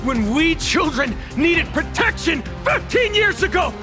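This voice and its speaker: High-voiced, bitter young squad leader. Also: male voice, expressive, over music. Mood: bitter, accusing. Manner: slow, emphatic, declamatory delivery. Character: bitter, defiant young male squad leader, theatrical and wounded. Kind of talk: dramatic video game voice acting. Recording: dramatic game score behind the voice.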